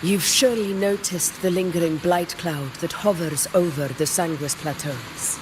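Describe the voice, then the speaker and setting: An actress playing a proud, commanding gladiator leader in a video game, speaking formally with stately self-assurance. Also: female voice, fairly low pitch, normal speed, noisy background, close to an everyday voice. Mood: solemn, resolute. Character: proud, commanding female gladiator war leader, regal and battle-hungry. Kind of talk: dramatic video game character dialogue.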